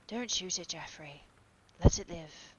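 A woman voicing a troubled young gentleman who speaks in a soft, bitter near-whisper. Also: fairly low pitch, a little slow, natural voice, rough recording. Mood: pleading. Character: young gentleman, haunted and remorseful.

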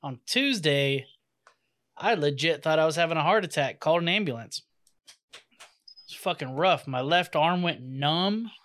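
A man's voice: a little high, expressive, normal speed, pauses often, a bit bright. A man hosting a podcast, joking and swearing in casual chat with guests. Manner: profane, joking, laughs often. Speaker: podcast host.

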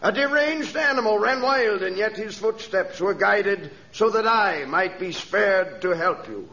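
An actor playing a gentle, devout missionary reverend with a solemn sermon delivery in 1930s radio drama style. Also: male voice, high-pitched, a little slow, heavily acted. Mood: solemn preaching. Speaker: missionary preacher. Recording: dull old radio transcription.

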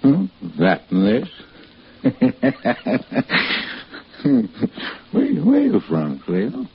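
A man's voice: mid-range voice, wildly swooping pitch, extremely slow, creaky, breathy edge, heavily acted. An actor playing an anxious, drunken, heartbroken husband in old American radio drama, rambling and stammering as he goes. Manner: rambling, hesitant, stammering and repeating himself. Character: anxious, drunken, heartbroken husband hiding behind a false name. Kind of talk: 1940s-50s American radio drama acting. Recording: muffled, hissy old radio recording.

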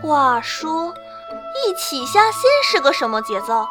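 A woman's voice: high voice, big pitch swings, a little slow. A woman playing a curious young girl in an online-chat audio drama, cute and questioning. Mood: puzzled. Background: playful music.

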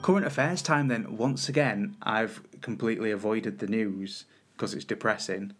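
Loud, chatty man. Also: on the low side, moderate pace, over loud music. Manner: loud voice that carries. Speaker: podcast co-host. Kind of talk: casual chat.

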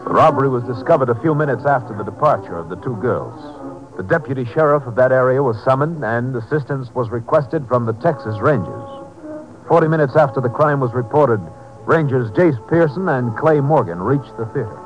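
A man's voice: mid-range voice, lively intonation, natural voice. Man doing old 50s radio crime narrator, american, serious n formal, music under him, muffled sound. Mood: solemn, factual. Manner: formal, deliberate. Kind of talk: 1950s scripted dramatic narration. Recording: band-limited old radio, music behind.

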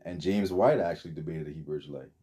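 A man's voice: rumbling low, quick pace, mellow, husky. A young man from the Bahamas, a podcast guest telling his story in a rambling, casual way with many fillers and restarts.

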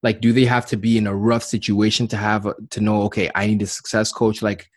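A man's voice: fairly low pitch, level tone, brisk, no room sound, clean studio sound. A man hosting a podcast interview, affirming his guest and often repeating words as he talks. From Canada. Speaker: podcast host. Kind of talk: casual interview chat.

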